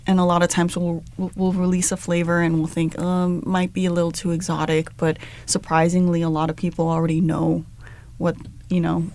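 A young woman with a fairly low, even voice. She answers in measured, steady sentences with plenty of "um"s, speaking as a chef and shop co-owner from the Valley. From Los Angeles, San Fernando Valley. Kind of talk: casual interview answers.

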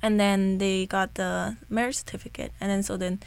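A woman's voice: mid-range voice, level tone, moderate pace, creaky, clean tone. A young adult woman from Cambodia, answering interview questions in a casual, hesitant, informal way. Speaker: student guest being interviewed. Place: radio studio.

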